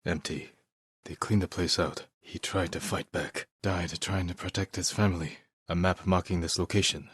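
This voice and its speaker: An actor voicing a solemn, resolute, honourable samurai in a video game, speaking in a terse, measured, serious way. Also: male voice, low voice, steady tone, slightly rough, dry recording, studio quality. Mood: quiet, sombre.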